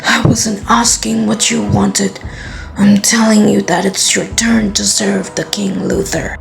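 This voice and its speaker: Casting: woman as menacing army soldier, heavy gravel, slow and deliberate delivery, fan dub drama over music. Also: medium pitch, flat delivery. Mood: cold threat. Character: bullying, threatening soldier of an occupying army. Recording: music behind the voice.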